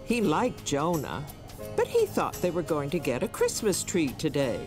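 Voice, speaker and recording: A woman playing the gentle storybook narrator of a preschool cartoon, reading scripted narration for young children. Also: deep voice, very animated, moderate pace. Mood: gentle storytelling. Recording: music under the voice.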